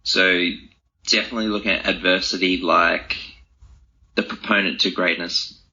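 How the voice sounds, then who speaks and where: Deep, forceful, husky young man. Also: steady tone, normal speed. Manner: informal, conversational, thinking aloud. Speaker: interview guest, author and speaker. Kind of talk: casual reflective interview answers. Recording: dull, lo-fi audio.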